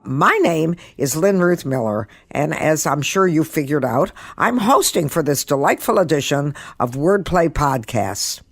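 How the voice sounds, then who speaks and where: Elderly woman with a low-set, dry-recorded voice. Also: expressive, unhurried, few pauses, breathy edge, no room sound, soft background music. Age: 80s. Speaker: guest podcast host, comedian and author. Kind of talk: podcast monologue with writing tips and story reading.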